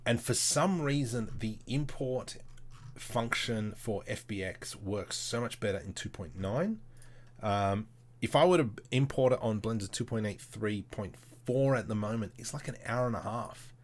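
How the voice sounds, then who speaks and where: A man hosting a casual live software tutorial, thinking aloud in short, informal, slangy step-by-step phrases. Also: lowish voice, unhurried. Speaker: tutorial host, livestreamer. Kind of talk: casual live screen-share tutorial commentary.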